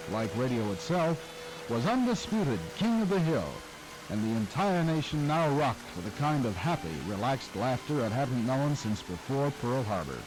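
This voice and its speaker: A man giving scripted documentary narration about old-time radio, smooth and wry, like a showman. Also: medium pitch, poor audio.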